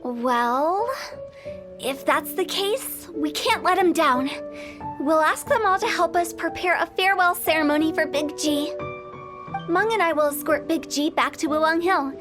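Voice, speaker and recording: A woman's voice: extremely high pitch, unhurried. A woman voicing a confident, teasing young woman who runs a funeral parlor, over game music. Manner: self-assured, teasing.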